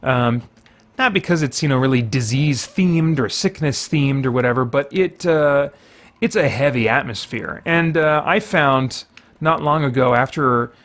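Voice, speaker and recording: A man's voice: medium pitch, a little slow, fairly bright tone. Rambling man doing game commentary. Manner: rambling, thinking aloud. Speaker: gaming video host. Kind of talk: casual let's play commentary.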